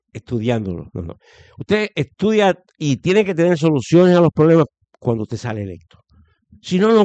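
A man's voice: on the high side, a little mellow, no room sound. Older Puerto Rican man, dry dull recording, emphatic guest with restarts. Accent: Puerto Rican Spanish. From Puerto Rico. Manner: emphatic, hesitant restarts, repeats points. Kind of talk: political talk interview. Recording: dull lo-fi sound.

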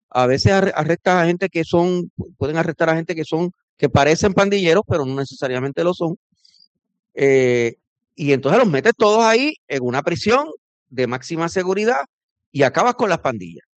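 Male interviewer, fairly high, probing questions, dry muffled radio sound. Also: no echo.

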